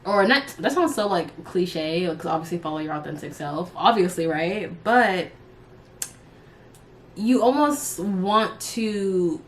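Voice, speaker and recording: A woman's voice: lowish voice, lively intonation, slow, a little hiss or hum. A woman in her early twenties chatting casually and unscripted to her followers, candid and slangy, rambling as she goes. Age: early 20s. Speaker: YouTuber and live streamer.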